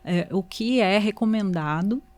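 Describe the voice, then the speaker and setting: A woman's voice: mid-range voice, a little slow, darkish tone, dry recording. A woman with a Brazilian Portuguese accent explaining and advising as a medical guest, conversational and instructive, often adding short check-in questions. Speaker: guest, dermatologist. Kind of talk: conversational expert explanation and advice.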